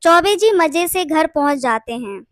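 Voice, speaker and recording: A woman's voice: high voice, animated, unhurried, no echo, clean studio sound. A woman reading scripted narration for a children's bedtime story.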